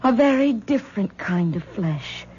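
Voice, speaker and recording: An actress playing an anxious young wife who pleads urgently, heard in a dull 1970s radio drama broadcast. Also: female voice, medium pitch, lively intonation, measured pace, a little mellow, slight background noise, natural voice. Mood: uneasy.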